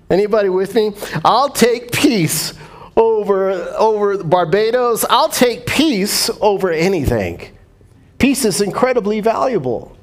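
Pastor guy preaching, chatty and animated, voice on the higher side. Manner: animated, conversational preaching with congregation call-and-response. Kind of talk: sermon.

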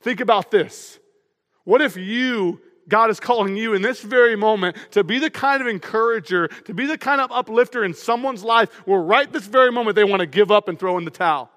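A man preaching an energetic sermon as pastor, with a loud, emphatic delivery to a room full of people. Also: high-pitched, a little fast. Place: church auditorium. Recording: long hall reverb.

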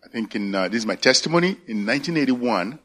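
Older nigerian guy telling his faith story in church, lots of pauses, feeling for words. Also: mid-range voice, expressive, fairly bright tone. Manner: halting, searching for words. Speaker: church elder candidate. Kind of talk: personal faith testimony. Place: church service.

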